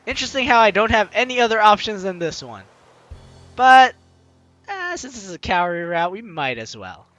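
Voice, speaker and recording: Guy doing a let's play, bright highish voice, chatty, lots of little asides. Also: normal speed, no room sound, highs cut off, soft background music. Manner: animated, chatty, self-interrupting asides. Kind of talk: game commentary mixed with reading dialogue aloud.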